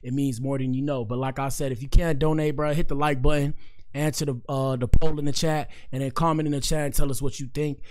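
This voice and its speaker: A young man with a hoarse, worn voice hosts a loose livestream podcast. He rambles in slang at a middling pitch and talks to his viewers like friends. He grew up in Miami-Dade County. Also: flat delivery, quick pace, a little mellow. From Miami-Dade County, Florida. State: hoarse, has partly lost his voice. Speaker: podcast host. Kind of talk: casual livestream commentary.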